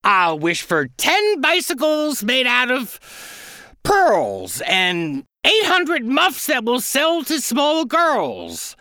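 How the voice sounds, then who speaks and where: A greedy young duck from a storybook, squealing out ever bigger wishes in a sharp, high voice that leaps all over the place, as a man reads him. He boasts and shrieks with excitement. The sound is close and dry, with no room around it. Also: no room sound, full character voice. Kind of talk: storybook reading.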